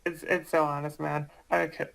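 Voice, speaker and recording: A man chatting casually as a guest, hesitant and halting, often repeating his words. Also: fairly high pitch, little pitch movement, vocal fry, fairly bright tone. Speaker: guest, amateur voice actor. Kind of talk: casual chat.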